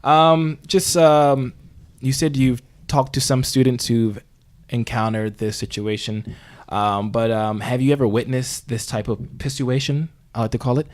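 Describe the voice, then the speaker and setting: A man playing a bumbling, earnest talk-show host in an improvised comedy interview, with halting, rambling phrasing. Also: medium pitch, level tone, close to an everyday voice. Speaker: podcast host.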